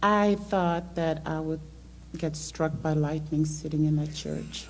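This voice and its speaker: A woman's voice: sits low, steady tone, a little slow, poor audio. A middle-aged woman from South America, raised in the Netherlands, giving a hesitant personal testimony with many ums. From born in South America, grew up in the Netherlands. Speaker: church member sharing testimony. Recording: faint background hum.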